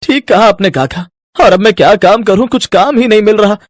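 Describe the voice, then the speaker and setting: A man's voice: high-pitched, fairly quick, no echo, studio quality, lightly acted. A man playing an earnest, troubled poor mint seller in a moral story, with a pleading manner. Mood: dejected, puzzled. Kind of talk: Hindi moral story voice acting.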